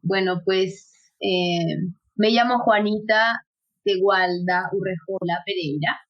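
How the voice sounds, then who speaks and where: A woman's voice: mid-range voice, takes it slowly. A woman raised in Ecuador, an interview guest, telling her story with frequent laughs and hesitant fillers. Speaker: guest, actress and yoga instructor. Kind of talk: conversational interview. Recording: dull lo-fi audio.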